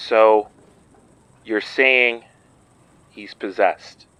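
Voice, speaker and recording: A man acting as a grim, tense man in an audio drama, speaking deliberately. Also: fairly low pitch, a bit bright, smooth, no room sound, dull audio. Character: grim, tense man confronting a friend's possession.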